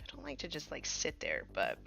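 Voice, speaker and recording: A woman's voice: low-pitched, wildly swooping pitch, a little fast, gravelly, bright, forceful tone, faint music behind. A woman in her thirties chatting casually while painting miniatures on a live stream, with many fillers, sometimes trailing off to a murmur.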